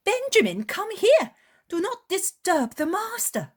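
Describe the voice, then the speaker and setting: A woman's voice: on the high side, animated, measured pace, slightly rough, voice pushed up. A woman voicing a worn-out, anxious mother from a poor village who pleads and complains in a raised voice. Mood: scolding.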